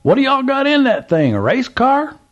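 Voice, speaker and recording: A man voicing an excitable, toothless old Southern man who drawls and exclaims in a high voice. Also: moderate pace, lo-fi sound, full character voice. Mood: excited.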